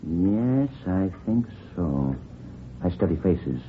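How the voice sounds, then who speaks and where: Evasive little desk clerk: deep, guarded, hesitant, vintage radio drama with dull, faintly noisy sound. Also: male voice, big pitch swings, slow pace, exaggerated voice. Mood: cagey, hesitant. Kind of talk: 1950s radio drama acting.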